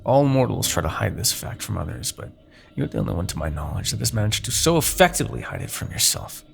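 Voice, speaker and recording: Man acting as a gravelly, seductive demon king. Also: very animated, soft background music, full character voice. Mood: probing, condescending. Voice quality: gravelly, creaky low voice. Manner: theatrical, silky, condescending persuasion with dramatic pauses. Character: seductive, manipulative male demon king, haughty and persuasive. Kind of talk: fantasy ASMR roleplay monologue.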